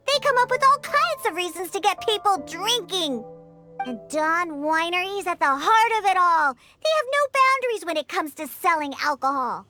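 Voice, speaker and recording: A woman voicing a feisty young catgirl bartender in a video game, with a childlike voice and an emphatic, indignant manner. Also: exaggerated voice. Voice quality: high, bright, childlike. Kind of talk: animated video game character acting.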